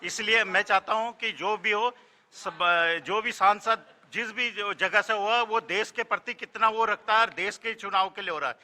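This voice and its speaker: A man giving his opinion in a street interview as a ruling party supporter, in a halting way. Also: high-pitched, bright, forceful tone. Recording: reverberant, echoing sound.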